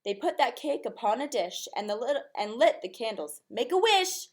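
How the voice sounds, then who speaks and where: High-voiced woman reading a storybook. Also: lively intonation, normal speed, darkish tone. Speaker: teacher reading a picture book aloud. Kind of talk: expressive rhyming storybook read-aloud.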